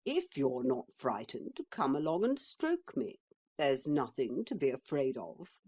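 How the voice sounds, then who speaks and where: A woman's voice: rumbling low, very animated, darkish tone, breathy edge, full character voice. A woman voicing a sly, boastful male talking horse that mocks and coaxes a boy. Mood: mocking. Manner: slow, coaxing, mocking.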